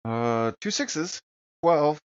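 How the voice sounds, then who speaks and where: A man's voice: on the high side, lively intonation, very slow, smooth, no room sound. A man playing a tabletop game, chatting casually, on a slightly muffled close mic. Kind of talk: casual animated banter.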